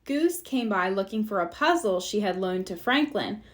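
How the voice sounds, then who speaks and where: A woman narrating a children's storybook aloud in an expressive read-aloud style. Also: medium pitch, moderate pace. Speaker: storybook reader.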